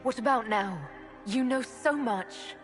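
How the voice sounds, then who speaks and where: An actress playing an earnest elven mage heroine in a video game, asking direct, searching questions. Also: female voice, fairly high pitch, unhurried, close to an everyday voice. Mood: questioning. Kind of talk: dramatic video game voice acting. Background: background music.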